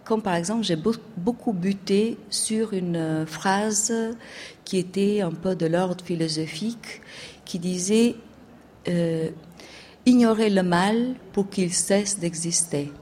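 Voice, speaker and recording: A woman who grew up in Albania with Albanian as her first language, a writer and academic answering on a discussion panel, halting with many pauses and fillers. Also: mid-range voice, dark tone, slight background noise.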